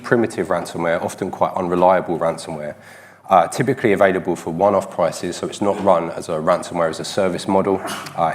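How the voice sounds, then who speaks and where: A man's voice: low voice, a little hiss or hum. A man giving a technical conference talk, a bit congested from a cold, with a self-deprecating, filler-heavy delivery. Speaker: conference speaker.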